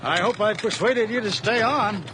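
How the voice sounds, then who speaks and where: An actor playing a kind, hesitant older farmer in a radio drama, gentle and fond of his hired man. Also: high-pitched, normal speed, close to an everyday voice. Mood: kindly. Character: kindly aging male farmer, employer. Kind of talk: 1980s radio drama acting. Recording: vintage radio broadcast with faint noise.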